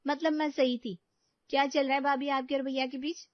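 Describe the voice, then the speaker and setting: A woman's voice: high voice, level tone, moderate pace, no echo, dull audio, lightly acted. A woman playing a chatty, caring young sister-in-law in a cartoon story, eager and earnest. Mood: concerned.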